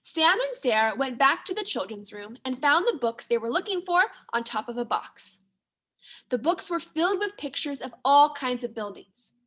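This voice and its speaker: Bright, high-voiced woman reading a story. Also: big pitch swings, normal speed. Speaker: second grade teacher. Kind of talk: read-aloud storytelling for children.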